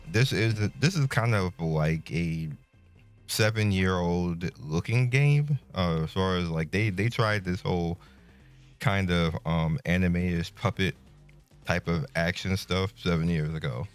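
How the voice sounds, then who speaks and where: A man with a deep, low voice talks through a game in a casual, laid-back way. His delivery is colloquial and halting, like a video host giving impressions, with music playing behind him. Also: smooth. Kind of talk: casual game review commentary.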